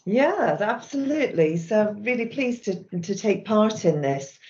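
A middle-aged woman with a Scottish accent, answering interview questions in a measured, reflective way as a charity's chief executive. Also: medium pitch, very animated, a little mellow, highs cut off, a little hiss or hum. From Scotland. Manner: measured, reflective, with frequent restarts.

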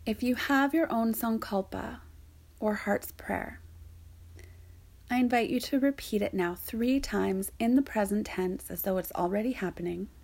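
Slow, breathy woman guiding meditation. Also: mid-range voice. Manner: soft, soothing, breathy delivery with long pauses. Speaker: yoga nidra teacher guiding a practice. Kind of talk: guided meditation narration.

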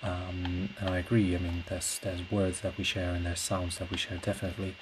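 A man's voice: deep voice, a little fast, over music. A man whose native language is Portuguese, speaking in a casual solo podcast ramble, hesitant and reflective as he searches for words. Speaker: podcast host; classical singer. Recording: clear steady background noise.

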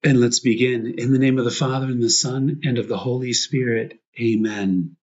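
A man guiding a prayer meditation as a priest, reflective and prayerful, speaking in short phrases with pauses. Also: medium pitch, steady tone, darkish tone, slightly rough, dull audio.

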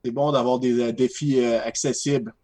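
A man's voice: mid-range voice, fairly even intonation, moderate pace, dark tone. A man with a Quebec French accent, a band's banjo player, chatting with dry, self-mocking humor. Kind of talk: joking interview chat.

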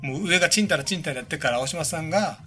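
Animated fast-talking man, bright tone. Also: on the high side, faint music behind. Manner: animated, playful storytelling. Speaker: podcast co-host. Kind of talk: casual chat.

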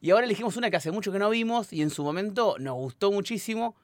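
A man with a Rioplatense Argentine accent co-hosting a film podcast in a relaxed, rambling, teasing way. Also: high voice, very fast, no room sound, studio quality. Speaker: podcast co-host. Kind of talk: casual film podcast chat. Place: studio.

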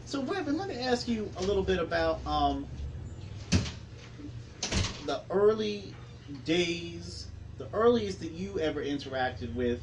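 A man's voice: high-pitched, sing-song, unhurried, long pauses, distant mic, background noise. A man hosting an interview, giving short encouraging responses and asking questions. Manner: brief encouraging backchannels. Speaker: interview host. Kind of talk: radio-style interview.

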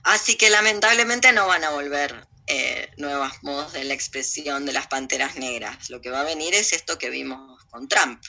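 A woman's voice: low-pitched, moderate pace, few pauses, pushed and bright, lo-fi sound. A woman with an Argentine accent giving opinionated, informal interview answers in a blunt, emphatic way, using coarse slang. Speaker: interview guest.

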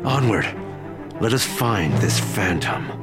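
A man's voice: fairly low pitch, lively intonation, slow pace, loud music behind the voice. An actor playing a secretive male informant who hears whispers of the streets, in a radio drama. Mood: resolute. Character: Quasimodo, shadowy male Parisian informant.

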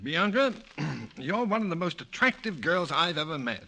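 An actor playing a flustered, jealous husband in a 1950s radio comedy, exasperated, sarcastic and stammering. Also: male voice, fairly high pitch, big pitch swings, normal speed, pushed and bright. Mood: nervous, evasive. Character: flustered, jealous husband caught between two wives. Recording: noisy, crackly vintage 1950s radio recording.

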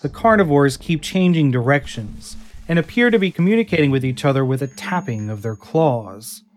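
A man reading stage directions as narrator of a fan audio drama in an even, descriptive way. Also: on the high side, moderate pace.

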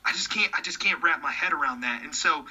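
A man in his thirties talks with real conviction in an easygoing chat. His voice is strikingly bright and forceful, and he often repeats words as he gets a sentence going. The recording sounds slightly dull and lo-fi. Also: a little high, fast talker, breathy edge. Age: 30s. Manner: earnest, repeats words when starting sentences. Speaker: podcast guest. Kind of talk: casual conversation.

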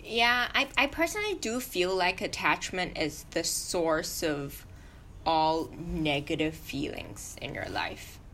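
A woman's voice: fairly low pitch, big pitch swings, slow pace, vocal fry, a bit bright, a little hiss or hum. A young woman on a podcast talking casually and reflectively, explaining her views with playful examples. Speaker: podcast co-host. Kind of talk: casual chat.

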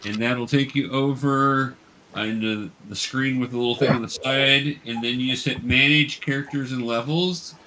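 A man's voice: mid-range voice, fairly even intonation, moderate pace, sharp tone. Man hosting a tabletop game, noisy background. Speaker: game master and host.

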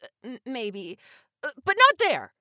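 Flustered young female, played by an actress, bright highish voice, halting delivery, audio comedy. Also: huge pitch swings, no room sound, studio quality, full character voice. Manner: halting, awkward. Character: flustered, hesitant young woman warrior. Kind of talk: comedic audio drama acting.

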